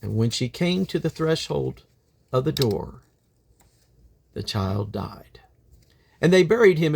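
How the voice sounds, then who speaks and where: A man reading from the King James Bible in a solemn, deliberate manner, with emphatic phrasing and drawn-out endings. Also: mid-range voice, gravelly, darkish tone. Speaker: Bible reader.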